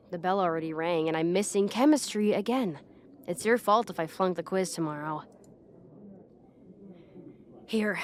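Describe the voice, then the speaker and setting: A woman playing a bossy, easily flustered teenage popular bully in an audio roleplay, exasperated and pushy, halting when embarrassed. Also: medium pitch, a little hiss or hum, close to an everyday voice. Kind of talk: acted ASMR roleplay monologue to the listener.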